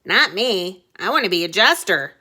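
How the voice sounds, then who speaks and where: A woman's voice: mid-range voice, animated, normal speed, pushed and bright. Woman voicing an eager, pleading young storybook prince. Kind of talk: children's storybook read-aloud.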